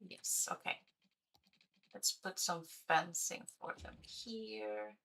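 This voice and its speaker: Slow, gravelly woman streamer. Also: mid-range voice, fairly even intonation, bright, forceful tone, slight background noise, low-quality recording. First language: Swedish. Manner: chatty, thinking aloud, hesitant with fillers. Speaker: game streamer. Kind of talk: casual livestream commentary while playing.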